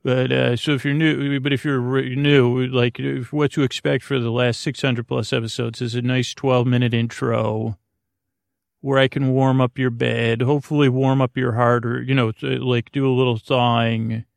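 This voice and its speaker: A man with a creaky, gravelly voice and a very flat delivery, recorded close and dry. He hosts an unscripted bedtime podcast, meandering through half-finished thoughts, stammering and circling back as he loses his thread. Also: medium pitch, moderate pace, no room sound. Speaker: podcast host. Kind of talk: rambling, unscripted bedtime monologue.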